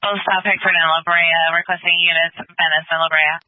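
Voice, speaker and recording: Brisk American man on tinny police radio. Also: fairly high pitch, level tone, no echo. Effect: two-way radio. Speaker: police and emergency radio dispatcher. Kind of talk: clipped radio dispatch calls.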